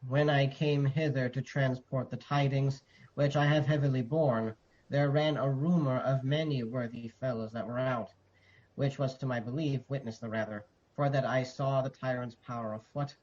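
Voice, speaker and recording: A man playing a sorrowful noble messenger in a Shakespearean radio drama, delivering solemn, measured verse. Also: medium pitch, steady tone, darkish tone, close to an everyday voice. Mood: guarded, solemn. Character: Ross, sorrowful noble messenger bearing dreadful news. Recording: slightly dull, muffled audio.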